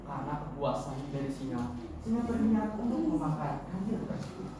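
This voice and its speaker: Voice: mid-range voice, expressive, moderate pace. A contest storyteller narrating a tale in a theatrical, declamatory way. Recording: echoey hall, distant mic, noisy.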